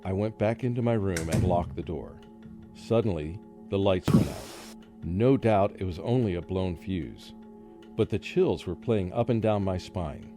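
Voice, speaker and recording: A man's voice: low voice, unhurried, warm tone, faint music behind. A man playing a nervous, haunted scholar who narrates a radio drama in dramatic storytelling style. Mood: uneasy, suspenseful. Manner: dramatic first-person storytelling. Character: haunted, nervous male scholar recounting his ordeal.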